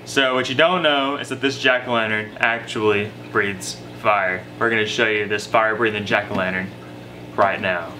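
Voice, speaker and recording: A man's voice: on the low side, steady tone, normal speed. A young man co-hosting a casual fishing vlog, talking to camera with playful teasing and trash talk, outdoors by a lake and then indoors at home. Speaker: fishing vlogger, co-host. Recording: noisy camera audio.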